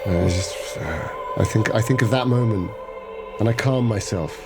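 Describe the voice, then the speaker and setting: A man playing a tabletop game, describing his character in a musing, drawn-out way. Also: fairly low pitch, loud music behind the voice. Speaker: player. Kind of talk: in-character roleplay narration.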